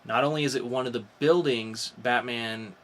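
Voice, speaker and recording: A man's voice: medium pitch, moderate pace, a little hiss or hum. A man hosting a podcast, talking casually about geek news in an informal, explanatory way with some swearing. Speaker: podcast host.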